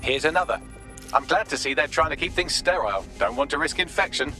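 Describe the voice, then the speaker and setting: An actor voicing a witty, smug artificial intelligence assistant in a video game, wry and flippant. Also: male voice, fairly high pitch, fast talker, bright, forceful tone, soft background music.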